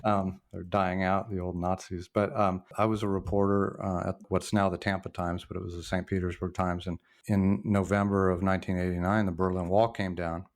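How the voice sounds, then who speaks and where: A man in his sixties with a very low, deep voice talks through his memories as a podcast guest. He is reflective and halting, often restarting his sentences as he tells his story. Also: level tone. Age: 60s. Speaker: podcast guest, former journalist. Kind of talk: casual storytelling interview.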